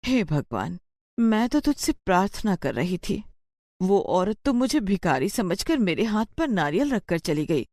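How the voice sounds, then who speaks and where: A woman's voice: mid-range voice, big pitch swings, a little slow, mellow, no room sound, studio quality. A woman voicing a poor, troubled village mother in a moral story, pleading and sorrowful. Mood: sorrowful prayer. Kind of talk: acted dialogue in a Hindi moral story.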